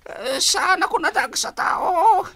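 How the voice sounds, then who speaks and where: Very high, whiny young household helper. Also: female voice, expressive, slow, fairly bright tone, exaggerated voice. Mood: pleading. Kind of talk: Ilocano comedy radio drama acting.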